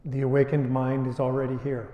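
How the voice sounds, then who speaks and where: A man leading a guided meditation as a teacher, speaking in short unhurried phrases with long pauses. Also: medium pitch, monotone, muffled recording. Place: indoor retreat space before a group. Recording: echoey, reverberant room.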